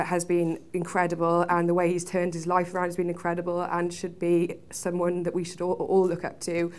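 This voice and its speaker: A woman's voice: on the low side, darkish tone. A woman giving an informal spoken testimonial as a seminar attendee.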